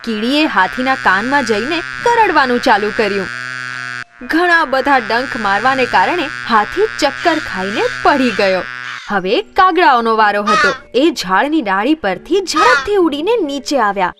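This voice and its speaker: A woman narrating scripted children's moral stories in an expressive storytelling manner. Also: a little high, measured pace, music in the background.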